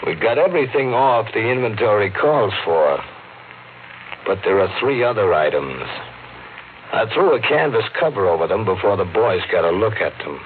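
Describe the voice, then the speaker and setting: Man acting blunt local guy who knows too much, lowish, tinny old radio play. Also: lively intonation, moderate pace, a little hiss or hum, natural voice. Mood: ominous, accusing. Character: blunt, knowing country depot man and diner owner. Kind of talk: 1950s radio drama acting. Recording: tinny old radio broadcast, music behind.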